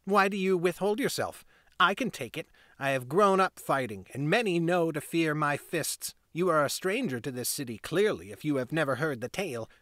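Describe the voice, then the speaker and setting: A bragging street urchin boy, high and lively in pitch, loud and proud of himself, as a male narrator puts him on. His voice lifts and swings as he boasts, in a dry close recording. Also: moderate pace, dry recording. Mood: boastful. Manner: boastful, high childish bluster.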